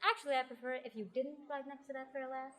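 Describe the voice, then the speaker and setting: A woman's voice: high voice, level tone, fast, a bit bright. A young woman playing a wry, take-charge traveller with a dry, teasing manner in an amateur audio drama. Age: young adult.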